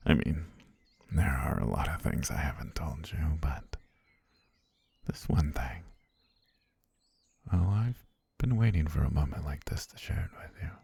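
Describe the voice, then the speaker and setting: Man acting a very deep, breathy, menacing butler. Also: animated, no room sound, full character voice. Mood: possessive, tender. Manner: genteel, unhurried, softly menacing, often near whisper. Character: obsessive, sweetly menacing butler holding a princess captive. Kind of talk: intimate ASMR roleplay.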